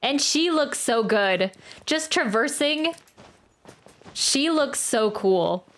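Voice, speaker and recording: A woman's voice: sits high, animated, slow, a bit bright. A woman giving casual, chatty live commentary as a game streamer, thinking aloud as she plays. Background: video game music.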